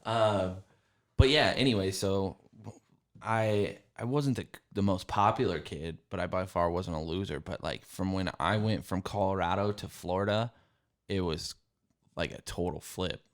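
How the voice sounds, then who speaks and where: A man with a lowish voice hosts a podcast with crude, teasing humor and frequent laughter. His talk is loose and joking. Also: a little slow. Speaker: podcast host. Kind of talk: casual podcast banter.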